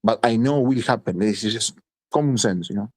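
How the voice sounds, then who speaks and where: A man's voice: fairly low pitch, brisk, no room sound. A man from Italy speaking English as a second language, blunt and emphatic, swearing and repeating points in a casual voice chat. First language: Italian. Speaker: voice chat participant.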